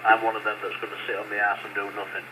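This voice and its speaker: A man's voice: on the low side, completely flat delivery, very fast. Brief: man, ham operator on CB, easygoing and defensive, buried in heavy radio static. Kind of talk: radio chat. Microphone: CB radio handset. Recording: CB radio transmission with loud static.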